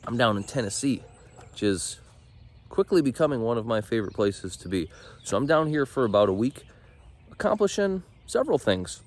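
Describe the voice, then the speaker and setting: A man's voice: mid-range voice, very animated, normal speed. Nasal man vlogging with a stuffy cold. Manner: upbeat, chatty, easygoing. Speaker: off-road vlogger. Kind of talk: casual vlog narration to camera.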